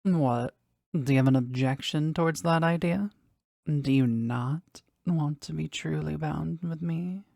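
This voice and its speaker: A woman playing a powerful, jealous demon devoted to their master in an intimate audio roleplay, speaking deliberately and intensely in a husky, breathy voice. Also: mellow, no echo, studio quality, full character voice. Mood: insistent. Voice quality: husky, breathy, low creaky voice. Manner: slow, deliberate, intense, dramatic.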